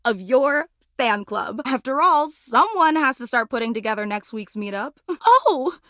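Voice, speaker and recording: Casting: an obsessive lovestruck superfan for a dating game. High, wildly swooping delivery, needy and theatrical. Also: female voice, expressive, measured pace, fairly bright tone, dry recording, clean studio sound. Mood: adoring, gushing. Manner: theatrical, gushing, needy, melodramatic. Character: obsessive, clingy, gushing lovestruck female superfan ceiling fan. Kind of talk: video game character acting.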